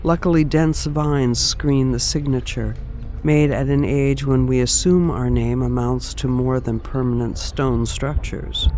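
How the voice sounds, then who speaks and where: A man reciting a reflective literary piece in a measured, deliberate way. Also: mid-range voice, fairly even intonation, darkish tone, slight background noise.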